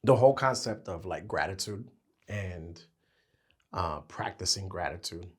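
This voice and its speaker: Husky low-voiced man losing his voice. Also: lively intonation, unhurried. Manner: talks his thoughts out as he goes. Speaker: podcast co-host. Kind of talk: casual chat.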